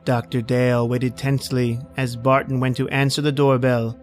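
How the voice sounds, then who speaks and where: A man's voice: mid-range voice, level tone, moderate pace, faint music behind, close to an everyday voice. A man reading scripted suspense narration as the narrator of an old-time radio horror play.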